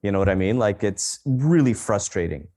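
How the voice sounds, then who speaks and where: A man's voice: lowish voice, expressive, moderate pace, mellow. A man co-hosting a podcast in casual chat, speaking frankly and outspokenly. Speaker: podcast co-host.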